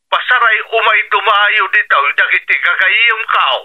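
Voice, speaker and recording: An actor playing an easygoing, teasing rural husband in a radio drama, with an Ilocano accent. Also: male voice, sits high, a little slow. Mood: teasing, reassuring. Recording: slightly dull, lo-fi sound.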